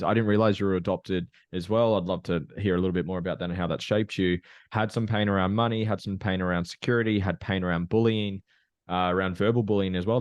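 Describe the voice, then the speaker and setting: A man's voice: sits low, dry recording, studio quality. A man hosting a podcast, praising his guest effusively and enthusiastically. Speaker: podcast host. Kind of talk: casual interview chat.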